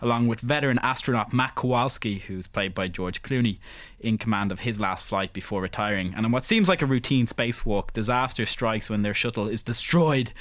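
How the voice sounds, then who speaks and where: A man co-hosting a culture podcast, chatting casually and reading quick film summaries. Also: mid-range voice, fairly bright tone, no echo. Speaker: podcast co-host.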